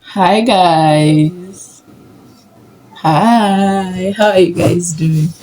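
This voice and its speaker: A woman's voice: on the low side, very slow, loud music behind the voice. A young Nigerian woman with a Nigerian accent, chatting casually and upbeat in a sit-down vlog. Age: young adult. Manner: chatty, upbeat, laughs often. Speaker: vlogger, medical student.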